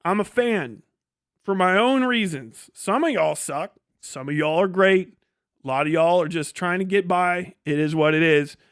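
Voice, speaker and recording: A man with a notably high voice talks off the cuff as a livestream host. He is blunt and sarcastic and swears freely. The sound is dry and close, with very little room in it. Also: measured pace, a bit bright, smooth, no room sound. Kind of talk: casual livestream commentary.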